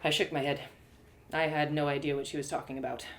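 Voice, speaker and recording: A woman's voice: low voice, flat delivery, quick pace, slight background noise. A woman storyteller reading scripted first-person fantasy narration expressively. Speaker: storyteller, author reading live.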